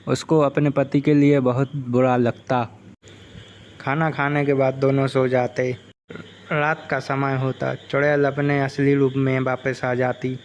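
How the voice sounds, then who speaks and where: A man's voice: medium pitch, lo-fi sound, a little hiss or hum. A man reading a scripted folk tale as the narrator. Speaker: story narrator.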